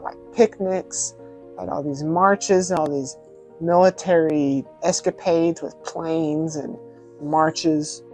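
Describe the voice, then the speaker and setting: A man's voice: a little high, darkish tone. Casual male vlogger over music. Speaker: video host reviewing films. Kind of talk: casual spoken vlog commentary.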